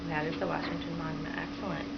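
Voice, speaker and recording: A woman's voice: deep voice, level tone, fast talker. Woman reading to children, muffled noisy recording. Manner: encouraging, repeats and expands children's answers, frequent checking questions. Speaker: teacher modeling a read-aloud to children. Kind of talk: reading a picture book aloud and asking children questions. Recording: dull, lo-fi home recording with clear background noise.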